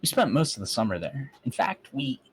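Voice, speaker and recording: A man's voice: medium pitch, big pitch swings, brisk, slightly rough. A man reading a fan story aloud on a voice call and breaking off for sarcastic, mocking asides. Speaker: fan fiction reader.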